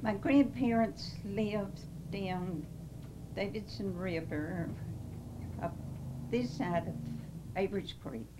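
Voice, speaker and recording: A woman, gently recalling where her family lived. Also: mid-range voice, slow pace, pauses often, heavy background noise. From Western North Carolina. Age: 90s. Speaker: interviewee.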